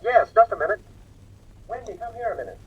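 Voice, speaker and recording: A young son in a radio drama, curt and coolly formal. The voice is high and rough-edged. It comes through a tinny, narrow videophone line. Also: male voice, animated, a little fast, heavily acted. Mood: cool, offhand. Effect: videophone filter.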